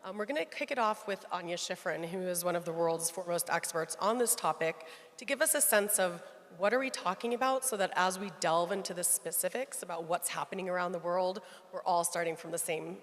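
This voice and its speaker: A woman's voice: lowish voice, brisk, hardly any pauses. A woman moderating a conference panel, welcoming guests and introducing speakers in a conversational way. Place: conference hall. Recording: echoey conference hall.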